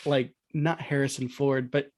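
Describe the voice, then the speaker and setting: A man's voice: mid-range voice, steady tone, measured pace, darkish tone, no room sound. Man chatting casually as a podcast guest, dry sound. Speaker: podcast guest, audio drama producer. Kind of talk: casual conversation about old-time radio.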